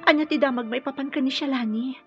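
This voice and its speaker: An actress with a Filipino accent playing a concerned, inquisitive wife and loyal friend in a radio drama. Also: female voice, sits high, quick pace, dull audio, faint music behind. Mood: worried.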